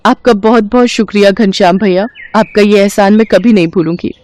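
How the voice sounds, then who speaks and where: A woman's voice: mid-range voice, a little fast, darkish tone, slight background noise, natural voice. Woman doing a poor devoted young wife in a moral story, polite, earnest, kinda pleading. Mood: polite, friendly. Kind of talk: animated moral-story dialogue.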